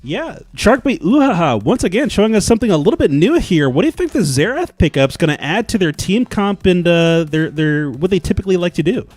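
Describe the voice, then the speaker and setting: Loud casual young play-by-play caster. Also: male voice, high-pitched, big pitch swings, fairly quick, hardly any pauses, dry recording. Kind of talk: esports commentary.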